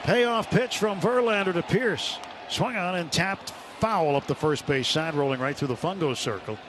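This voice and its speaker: A man's voice: high voice, moderate pace. A man working as a radio play-by-play announcer, giving live baseball commentary from a ballpark. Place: outdoor ballpark broadcast booth. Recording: noisy open-air stadium broadcast.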